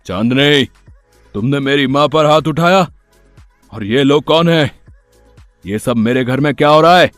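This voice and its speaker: A man's voice: medium pitch, measured pace, long pauses, fairly bright tone, no echo, natural voice. A man playing a greedy, domineering husband in a storybook tale, stern and threatening, with music behind. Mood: outraged.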